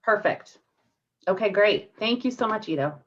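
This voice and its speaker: Woman host, mid-pitch, reads intro then fumbles tech apologetically; distant, dull call audio. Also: expressive, normal speed, a crowd in the background. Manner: apologetic when handling tech trouble. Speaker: event host. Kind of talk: reading a speaker introduction.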